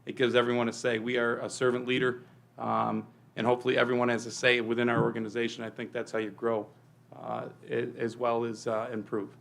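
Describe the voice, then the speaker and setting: Fast-talking gravelly police chief. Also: male voice, mid-range voice, flat delivery. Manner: speaks loudly without a microphone, filler-heavy. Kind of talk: presentation to a village board. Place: meeting room.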